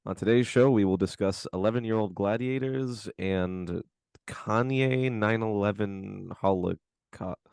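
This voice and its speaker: A man co-hosting a podcast, his voice hoarse from screaming, chatting in a laid-back, slangy, rambling way from his bedroom at home. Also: lowish voice, unhurried, darkish tone, no echo, clean studio sound. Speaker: podcast co-host. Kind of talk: casual podcast chat.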